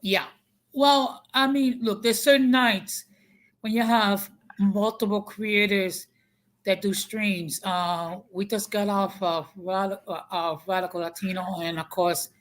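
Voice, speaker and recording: A woman in a casual online talk, explaining her views in a measured, emphatic way, point by point. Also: medium pitch, fairly even intonation. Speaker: interview partner. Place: New York.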